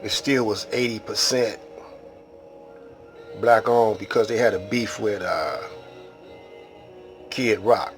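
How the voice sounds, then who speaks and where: An American man hosting a casual monologue, talking in a halting, rambling, streetwise way. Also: lowish voice, soft background music. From Detroit, Michigan, United States. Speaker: video host.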